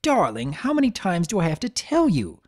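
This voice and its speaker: Man doing a fibbing pegasus stallion, pleading, stammering, high and strained. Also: huge pitch swings, normal speed, heavily acted. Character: smooth-talking, lying pegasus stallion.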